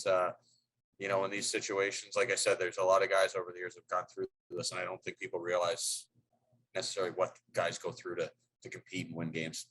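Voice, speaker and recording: A man's voice: sits low, fairly bright tone, rough recording. A middle-aged man, a hockey head coach, answering press questions in a loose, rambling way with many fillers and restarts.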